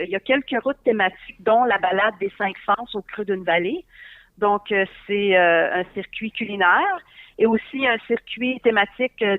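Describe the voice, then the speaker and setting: A woman's voice: mid-range voice, dry recording. A woman from northern Ontario with a Franco-Ontarian French accent, a tourism advisor answering interview questions fluently, with frequent hesitations. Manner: fluent, many filler hesitations, informative. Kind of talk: interview over the phone. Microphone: phone or call line. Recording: dry tinny phone line.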